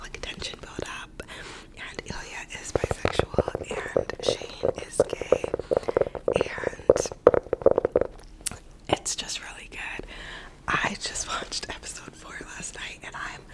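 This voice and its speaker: A woman's voice: slow pace, rough recording. A young woman whispering an ASMR ramble, recovering from a cold, chatty and full of "like" and "um". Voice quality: soft unvoiced whisper. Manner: rambling, lots of "like" and "um" fillers. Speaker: ASMR creator.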